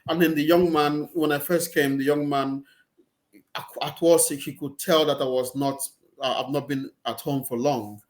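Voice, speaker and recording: Nigerian priest, fairly high-voiced, hesitant. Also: male voice, steady tone, moderate pace. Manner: hesitant, repeats words as he searches for phrasing. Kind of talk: casual interview conversation. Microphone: video call, laptop or webcam.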